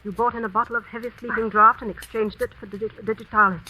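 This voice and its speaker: An actress playing a cold, scheming schoolmistress in a vintage radio suspense drama, deliberate and sardonic in manner. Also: female voice, fairly high pitch, steady tone, pushed and bright, husky. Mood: cold accusation. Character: cold, commanding schoolmistress, accusing and scheming, secretly a murderess. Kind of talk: 1940s radio suspense acting. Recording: noisy old radio drama recording.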